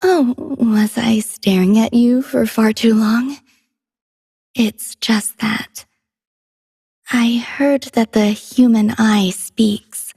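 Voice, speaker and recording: A woman playing a gentle, poetic female android companion in a game, soft-spoken and wistful with a breathy voice. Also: medium pitch, unhurried, dry recording. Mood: tender. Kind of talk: scripted game character voice lines.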